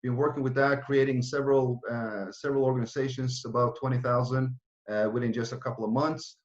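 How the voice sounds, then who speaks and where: A man's voice: medium pitch, steady tone. Man in his thirties, roomy lo-fi sound. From Norway. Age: 30s. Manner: fast, run-on, with frequent restarts and fillers. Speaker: project founder presenting. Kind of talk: online presentation with Q and A.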